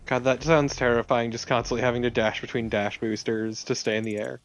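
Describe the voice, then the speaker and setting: A man's voice: mid-range voice, little pitch movement, fairly quick, faint music behind. A man co-commentating on a video game in a casual, wry, teasing way. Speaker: co-commentator watching the gameplay. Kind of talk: casual gaming chat.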